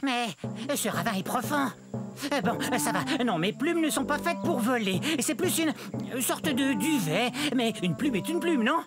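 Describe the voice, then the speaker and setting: A woman's voice: on the high side, sing-song, unhurried, music in the background. A woman voicing a nervous, defensive male feathered dinosaur in a children's cartoon, flustered and self-justifying. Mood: defensive, determined. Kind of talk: animated children's educational cartoon acting.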